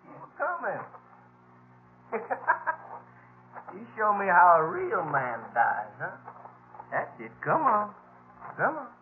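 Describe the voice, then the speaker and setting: A menacing outlaw chief with a very high voice, drawing out his words slowly. He sneers and gloats at his prey in old radio-drama fashion, through a thin, echoing recording. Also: wildly swooping pitch, exaggerated voice. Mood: gloating menace. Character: murderous, gloating Western outlaw boss taunting his prey. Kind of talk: 1950s radio drama acting. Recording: old, noisy, narrowband radio recording with echo.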